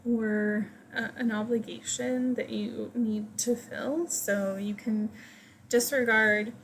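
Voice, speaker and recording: A woman leading a guided meditation, giving gentle, invitational instructions in short phrases with pauses between them. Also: on the high side, steady tone, vocal fry, slight background noise.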